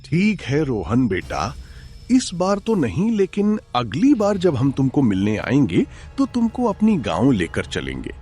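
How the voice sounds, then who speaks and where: Man as kindly village grandfather, elderly, husky, very slow, for a horror audio story. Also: medium pitch, huge pitch swings, dark tone, heavily acted. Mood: reassuring.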